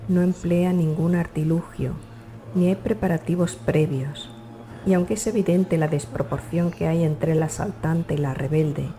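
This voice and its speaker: Woman reading a dark novel like an audiobook, low soft-edged voice, music underneath. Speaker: audiobook narrator. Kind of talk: scripted literary narration.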